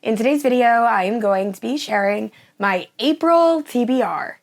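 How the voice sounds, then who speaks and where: A young woman hosting a casual book vlog, her voice hoarse and nearly gone after the flu, gushing about the books she plans to read. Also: fairly high pitch, animated, normal speed, music in the background. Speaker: book channel host.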